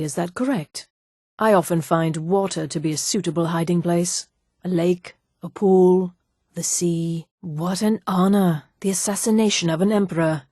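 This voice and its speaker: A woman playing a poised, coolly menacing elf assassin in a fantasy video game, speaking with formal, measured, deliberate diction. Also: lowish voice, a little mellow, no room sound, clean studio sound, close to an everyday voice. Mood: businesslike, discreet, then reverent envy.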